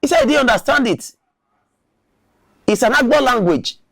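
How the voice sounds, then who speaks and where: A man's voice: very high-pitched, expressive, normal speed, a bit bright, no room sound. Emphatic man in a dry room. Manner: emphatic, argumentative, repeats words. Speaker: online commentator. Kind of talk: opinion commentary to camera.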